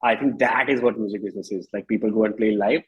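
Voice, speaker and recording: A man's voice: on the low side, steady tone, fast, husky, highs cut off. A man in his thirties with an Indian accent, a musician speaking casually and emphatically in an interview, repeating phrases for stress. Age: 30s.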